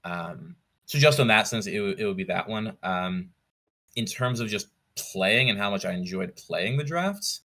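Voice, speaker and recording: A man with a fairly low but forward, bright voice talks as a podcast guest. He explains things casually, restarting phrases and correcting himself, with an enthusiast's eye for detail. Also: lively intonation, normal speed. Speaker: podcast guest, competitive card game player. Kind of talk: casual interview storytelling.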